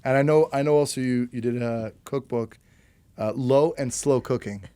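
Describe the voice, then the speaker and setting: A man's voice: mid-range voice, dark tone, smooth, dry recording. Male podcast host, casual, stop-start phrasing, dry close sound. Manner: halting, restarts his questions. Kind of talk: casual interview chat.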